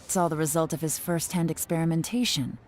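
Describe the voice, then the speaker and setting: A woman voicing a composed female intelligence agent in a video game, speaking in a calm, measured way. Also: low voice, a little hiss or hum, close to an everyday voice. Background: game music.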